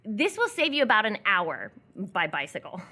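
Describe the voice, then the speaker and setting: A woman's voice: medium pitch, huge pitch swings, moderate pace, pushed and bright, exaggerated voice. A woman playing a blunt, teasing young eatery worker in live radio theatre, with a casual, offhand way of talking. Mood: teasing, curious. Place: live stage performance before an audience.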